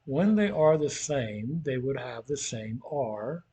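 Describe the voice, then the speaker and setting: A man's voice: medium pitch, expressive, takes it slowly, mellow, slightly rough. A man teaching in an informal, conversational way, talking through a worked physics problem as if thinking aloud.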